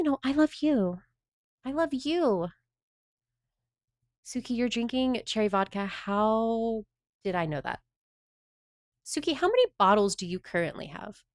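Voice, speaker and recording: A woman's voice: a little high, no echo. Chatty woman streamer, dry sound. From Southern United States. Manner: chatty, rambling, answering chat messages. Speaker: livestreamer. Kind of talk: casual livestream chat with viewers.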